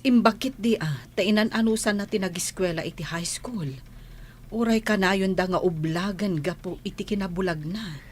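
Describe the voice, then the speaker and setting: An actress playing a gentle, doting middle-aged mother in an Ilocano radio drama. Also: medium pitch, normal speed, a little hiss or hum, close to an everyday voice. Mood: gentle, caring.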